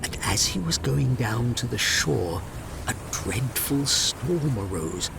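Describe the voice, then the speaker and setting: A man's voice: mid-range voice, lively intonation, takes it slowly, creaky, heavy background noise. A man narrating a fairy tale as a dramatic, scripted storyteller.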